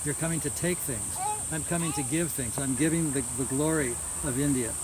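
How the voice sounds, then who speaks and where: Man reminiscing haltingly over cricket noise. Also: a little high. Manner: halting, repeats words as he recollects. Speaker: religious lecturer. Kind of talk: informal reminiscing lecture. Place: housewarming gathering, small live audience. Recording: noisy outdoor-like recording with insects. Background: loud hiss and chirping crickets.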